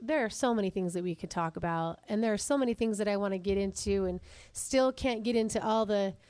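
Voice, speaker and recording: A middle-aged woman with a husky, raspy voice, hosting a casual podcast chat, joking about herself and laughing often. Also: mid-range voice, fairly quick, a little mellow, no room sound. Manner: chatty, self-deprecating, laughs often. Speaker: podcast host.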